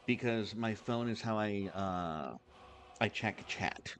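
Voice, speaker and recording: Low-voiced man hosting a game stream. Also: a little hiss or hum. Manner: halting and rambling, many restarts. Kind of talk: casual livestream chat.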